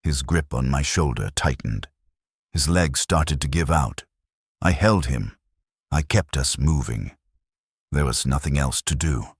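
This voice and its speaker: Male dramatic narrator: very low register, widely moving intonation, grave and deliberate first-person storytelling, close dry sound. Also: husky, dry recording. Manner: grave, measured, short clipped sentences.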